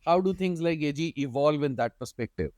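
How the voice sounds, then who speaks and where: Clear, higher-voiced male podcast host. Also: lively intonation, brisk, darkish tone, no echo, clean studio sound. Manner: asks thoughtful questions. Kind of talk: podcast interview.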